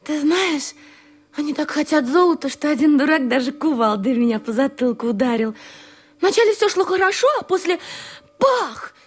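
High, swooping, volatile golden woman. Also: lively intonation, normal speed. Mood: tender, wistful. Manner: coquettish, exclamatory, swings from coaxing to indignation. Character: golden woman, naive, tender, touchy and volatile lover. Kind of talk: theatrical radio play acting. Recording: studio radio play with music.

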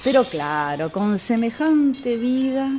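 Radio drama: actress as hard-luck, needy Argentine woman, aggrieved and dramatic, slow drawn-out delivery, lively pitch. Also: over a noisy crowd. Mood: dramatic, anxious. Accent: Argentine Rioplatense. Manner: theatrical, drawn-out storytelling. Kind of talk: radio play acting.

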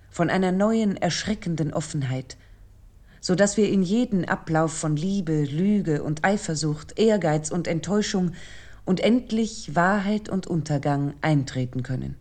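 A woman with a low, very soft-toned voice narrates a literary essay for radio. Her delivery is measured and formal, reflecting on the text as she reads.

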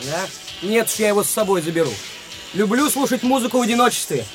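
An actor in a Russian radio drama playing a cheeky, sulky teenage younger brother who needles and mocks. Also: male voice, sits high, expressive, fast talker, music in the background. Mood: defiant. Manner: mocking, needling, slangy.

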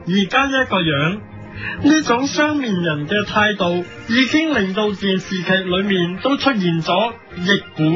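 A man with a high voice and a Hong Kong Cantonese accent reads satirical commentary like a newscaster. The recording is lo-fi radio, and music plays clearly behind him. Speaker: host of a parody editorial programme. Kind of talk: satirical scripted commentary in mock news style.

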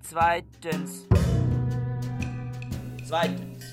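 An actor playing a fanatical bookish man who proclaims himself supreme warlord in a radio play, solemn and commanding in halting phrases. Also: on the high side, monotone. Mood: pompous, commanding. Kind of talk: radio play, declaimed manifesto. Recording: loud music over the voice. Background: loud music with drums and guitar.

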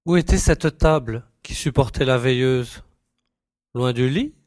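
A man's voice: mid-range voice, measured pace, darkish tone, dry recording, studio quality, lightly acted. A man playing an inquisitive, commanding young investigator in an audiobook. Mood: brisk, commanding. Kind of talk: audiobook character dialogue.

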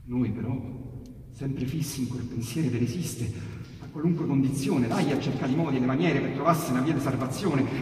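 A man with a Roman accent giving a theatrical, declamatory recital of narrative poetry, with dramatic pauses and swells. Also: on the high side. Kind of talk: theatrical dramatic storytelling of verse with piano. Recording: very echoey hall, distant mic. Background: piano accompaniment.

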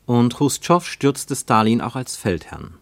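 A man narrating a radio play as a literary travel account, measured and descriptive. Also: mid-range voice, big pitch swings, gravelly, dry recording.

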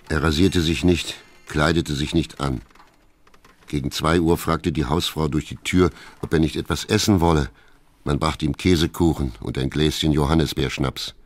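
An actor narrating a classic novel in a radio play, in a measured, detached storytelling manner. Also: male voice, very deep voice.

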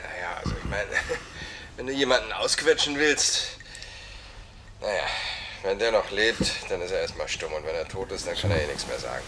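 A man's voice: sits low, lively intonation, normal speed, noisy background. An actor playing a blunt, coarse male crook in colloquial radio drama dialogue. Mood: casual, callous.

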